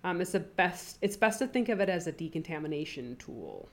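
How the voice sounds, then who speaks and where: A woman with a low voice, made a little deeper by a cold, hosts a casual podcast. She chats easily and reads news stories aloud, stopping to add her own comments. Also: very animated, brisk. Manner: chatty, reads news items aloud with asides. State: has a cold, voice deeper than usual. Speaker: podcast co-host.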